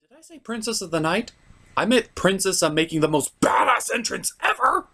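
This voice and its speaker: Animated, sarcastic man reviewing a cartoon. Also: high voice, normal speed. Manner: sarcastic, exasperated. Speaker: host of a comedic cartoon review show. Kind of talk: scripted comedic review narration.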